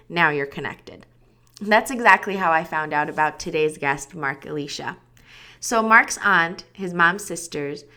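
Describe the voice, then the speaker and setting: A woman opens her podcast episode with a scripted, enthusiastic introduction. Her voice sits a bit low, yet it comes across bright and forceful, with eager energy. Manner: upbeat and enthusiastic. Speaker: podcast host.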